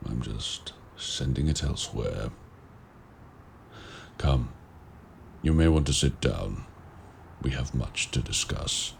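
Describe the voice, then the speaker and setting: A man playing a mysterious, courteous warlock of the woods in an audio roleplay, speaking in formal, archaic, measured phrases with a gravelly voice. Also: a bass voice, a little hiss or hum, exaggerated voice. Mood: secretive, calm, then courteous, composed. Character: mysterious, courteous forest-dwelling male warlock. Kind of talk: audio roleplay acting, addressing the listener.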